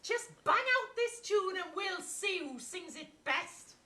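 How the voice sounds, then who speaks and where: Brash, foul-mouthed Constance. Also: female voice, very high-pitched, expressive, slow pace, heavily acted. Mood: boastful. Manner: brash, crude, shouting. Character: coarse foul-mouthed bossy woman, quarrelsome sister. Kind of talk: live sitcom table-read. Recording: roomy live theatre sound.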